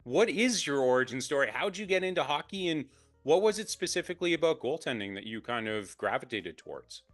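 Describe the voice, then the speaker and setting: A man's voice: on the high side, very animated, a little fast, fairly bright tone, faint music behind. A man hosting a sports podcast, introducing his guests with enthusiastic, upbeat delivery. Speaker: podcast host. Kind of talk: casual interview.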